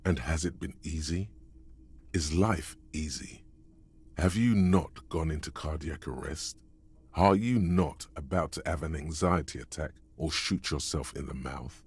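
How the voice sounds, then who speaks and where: A game's inner narrator with a very deep, husky voice. He sounds wry and goading as he needles the player with close, confiding remarks. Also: unhurried, a little mellow, heavily acted. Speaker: game narrator voice. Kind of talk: scripted game narration.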